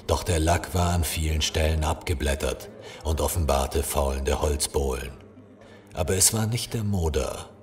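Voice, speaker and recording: An actor playing a horror author in an audio drama, speaking in a measured, solemn, formal way. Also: male voice, very deep voice. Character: horror author, solemn, polite but curt with fans. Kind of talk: dramatic literary reading and radio play dialogue.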